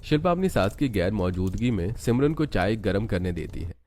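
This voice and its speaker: A man's voice: fairly low pitch, sing-song, normal speed, mellow. A man giving scripted narration for a cartoon story as its narrator.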